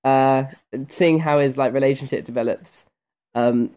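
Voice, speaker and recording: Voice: mid-range voice, steady tone, unhurried, dark tone, smooth. A voice actor chatting casually in an interview, rambling and hesitant with a giggly edge.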